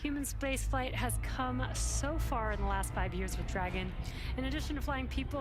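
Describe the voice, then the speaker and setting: A woman giving live broadcast commentary as a launch presenter. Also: on the high side.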